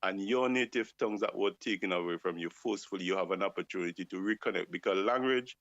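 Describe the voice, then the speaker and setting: Deep-voiced impassioned man. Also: fast, a bit bright, no echo. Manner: impassioned, asks rhetorical questions. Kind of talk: talk show discussion. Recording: dull lo-fi dry sound.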